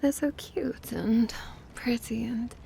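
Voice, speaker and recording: A woman's voice: fairly high pitch, steady tone, slow pace, gravelly, mellow, a little hiss or hum, full character voice. A woman playing a prickly, disdainful vampire who is secretly smitten with a human, huffy and theatrical in an ASMR roleplay. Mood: defensive.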